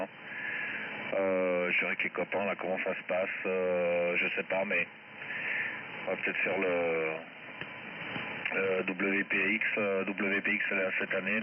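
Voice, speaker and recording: Low-pitched man on static-filled shortwave radio. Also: highs cut off. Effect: shortwave amateur radio transmission. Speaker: amateur radio operator. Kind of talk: casual radio contact chat. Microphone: heard through a radio receiver.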